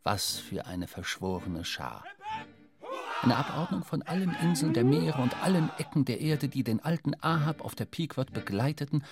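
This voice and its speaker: An actor playing a reflective sailor who narrates a whaling voyage in a radio play, in measured, dramatic literary storytelling. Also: male voice, medium pitch, expressive, music in the background, natural voice. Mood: reflective, grand.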